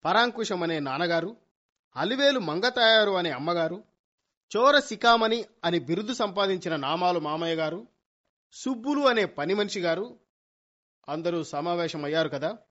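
An actor playing a cocky young amateur detective son in a radio comedy, speaking with grandiose courtroom flourishes and English phrases mixed in. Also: male voice, high-pitched, lively intonation, normal speed, fairly bright tone. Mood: dramatic, revealing. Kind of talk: Telugu radio comedy play acting. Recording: dull lo-fi radio recording.

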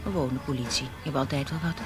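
A woman playing a dazed, fragile woman in denial in a radio drama, halting and repeating herself. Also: rumbling low, music in the background. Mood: weary, defensive. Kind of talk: naturalistic Dutch radio drama acting.

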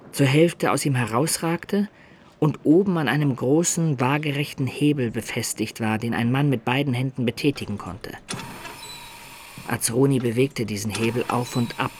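An actor playing a storyteller who recounts his medieval adventures as measured radio play narration. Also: mid-range voice, a little hiss or hum, close to an everyday voice.

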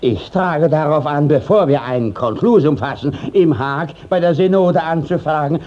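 An actor playing a shifty village judge, blustering and stalling theatrically in a 1950s German radio comedy. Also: male voice, on the high side, moderate pace, lightly acted. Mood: scheming, self-justifying. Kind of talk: 1950s German radio play acting of classic verse comedy. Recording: dull 1950s radio drama recording.

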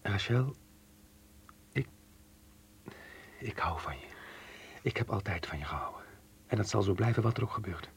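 An actor playing a married man secretly in love in a radio play, restrained but intense, with a hushed, husky voice. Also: lowish voice, expressive, moderate pace, pauses often, full character voice. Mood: tender, restrained.

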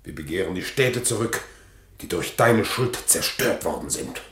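Menacing conspirator: low, grim, animated declamation, theatrical radio drama with echo. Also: male voice, normal speed. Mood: grim, demanding. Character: menacing Roman assassin demanding lost cities back.

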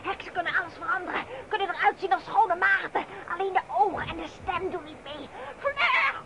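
A woman's voice: extremely high pitch, animated, brisk, crowd noise. An actress playing a stern, urgent witch-hunter in a radio drama, grave and commanding. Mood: agitated, commanding. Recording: noisy old radio play recording.

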